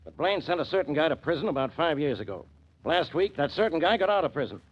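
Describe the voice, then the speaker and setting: An actor playing a gruff, impatient male police inspector in a 1940s radio drama, with a husky, gravelly voice and brusque manner. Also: fairly high pitch, lively intonation, quick pace, lo-fi sound.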